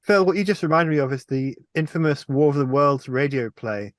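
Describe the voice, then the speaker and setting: A man in his thirties explaining something in a casual, halting way during a panel discussion. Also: fairly high pitch, animated, no room sound, clean studio sound. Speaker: film review panelist. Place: indoors on a video call.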